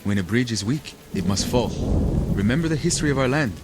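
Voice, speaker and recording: An actor playing a pragmatic, thoughtful male rebel strategist in a radio drama, sceptical and measured. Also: mid-range voice, animated, very noisy, close to an everyday voice. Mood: sober, cautioning.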